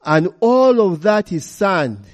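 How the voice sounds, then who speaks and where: A man voicing the playful, wise spirit of a small girl, speaking gently and earnestly. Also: sits high, sing-song, takes it slowly, voice pushed up, exaggerated voice. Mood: gently chiding.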